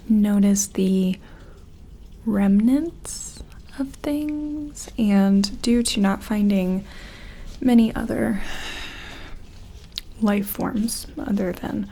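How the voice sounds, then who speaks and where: A woman doing a gentle ASMR roleplay as a careful scientist examining an alien, speaking softly and often slipping into a whisper, hesitant and reassuring. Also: mid-range voice. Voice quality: soft, breathy, often dropping to a whisper.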